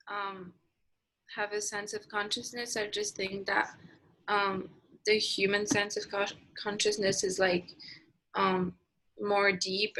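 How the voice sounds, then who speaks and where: A young woman answers briefly in a casual podcast chat. Her voice is bright and sharp but stays flat in pitch. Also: rough recording. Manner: curt, flat. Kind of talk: casual discussion on video call.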